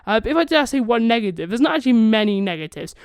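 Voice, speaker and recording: A man's voice: sits high, big pitch swings, racing, smooth, no room sound, studio quality. A young man giving casual, enthusiastic, rambling commentary as the host of a video channel. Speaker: YouTube vlogger, channel host.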